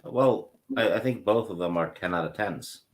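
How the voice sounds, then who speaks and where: A man talking as a guest in a casual movie chat, hesitant with ums and pauses. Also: low voice.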